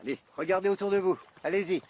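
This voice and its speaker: A man's voice: high-pitched, quick pace, a little mellow, slight background noise, close to an everyday voice. A man voicing an easygoing fisherman dad in a children's cartoon, hesitant and stammering when flustered. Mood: eager. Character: easygoing, chore-dodging fisherman dad, excitable and sheepish. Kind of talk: animated children's cartoon dub. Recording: music playing behind the voice.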